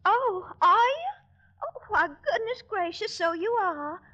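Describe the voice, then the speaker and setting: A woman's voice: very high-pitched, sing-song, takes it slowly, pushed and bright, heavily acted. An actress playing a gushing, coy, fussing society lady and fiancée in a 1940s radio comedy. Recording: dull 1940s radio recording.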